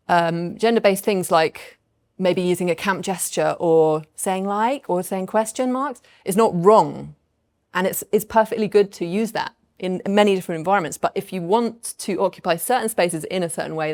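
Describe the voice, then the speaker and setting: A woman voice coach on a panel, explaining her ideas to an audience in a thoughtful, measured way with light laughs. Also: fairly low pitch, a little mellow. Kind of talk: panel talk answering audience questions.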